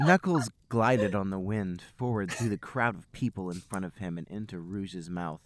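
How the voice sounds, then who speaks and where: A man's voice: low-pitched, moderate pace, no room sound. A man, a podcast host, reading fan fiction aloud as a storybook-like narration.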